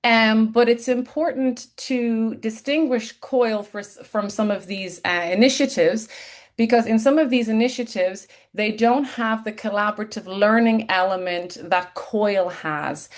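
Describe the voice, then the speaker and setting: A woman's voice: fairly high pitch, unhurried. Irish lady lecturer on a video call, explaining stuff, lots of ums. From Ireland. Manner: explanatory, many "um" fillers. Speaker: keynote speaker, senior lecturer and researcher. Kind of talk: webinar presentation.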